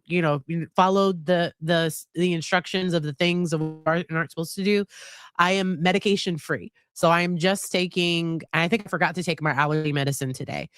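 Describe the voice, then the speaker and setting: A woman's voice: on the low side, clean tone, no room sound, studio quality. Chatty, slightly nasal woman host. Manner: chatty, hesitant, many restarts and laughs. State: nasal from a head cold. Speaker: psychologist and livestream host. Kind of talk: casual live commentary.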